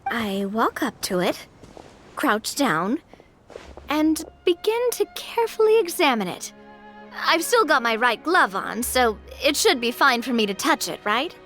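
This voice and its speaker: A woman's voice: sits high, very animated, a little slow, a bit bright, voice pushed up, full character voice. A woman voicing a poised, confident female duelist who speaks in a composed, wry way. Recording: with music behind.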